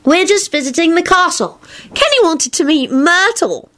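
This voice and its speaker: Storybook kid woman performing, stubborn and whiny, petulant, high-voiced. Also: lively intonation, normal speed, sharp tone, exaggerated voice. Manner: plaintive, petulant. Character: sulky, stubborn young boy, whiny and defiant.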